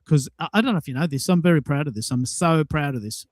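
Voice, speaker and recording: An Australian man hosts a casual show with an enthusiastic, emphatic way of talking. His voice sits a little high for a man. The sound is dry, with very little room in it. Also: expressive, fast, dry recording. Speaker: show host. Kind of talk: casual chat.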